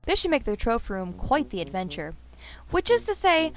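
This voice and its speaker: A woman's voice: fairly high pitch, sing-song, moderate pace, faint music behind. A woman voicing a sardonic young girl adventurer, narrating and talking in a game fan dub with wry, casual asides. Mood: uneasy, dry. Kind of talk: fandub game narration and dialogue.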